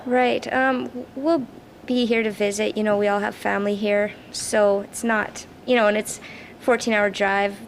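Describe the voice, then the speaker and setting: A young Canadian woman with a young-sounding voice, the bassist of a rock band, giving earnest, casual answers in an interview. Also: little pitch movement, normal speed, hardly any pauses. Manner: earnest, laughs easily. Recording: raw camcorder audio with background noise.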